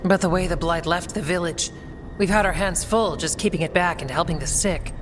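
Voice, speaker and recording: A woman's voice: lowish voice, a little fast, lightly acted. Woman playing a practical, level-headed soldier. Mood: serious. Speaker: video game voice actor. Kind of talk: dramatic video game cutscene acting. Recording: faint music behind the voice.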